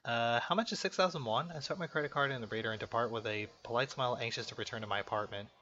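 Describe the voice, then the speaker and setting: A man's voice: medium pitch, rapid-fire, sharp tone, slight background noise. A man doing casual, jokey let's play commentary, reacting aloud to a game and reading its text. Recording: muffled lo-fi mic, music behind.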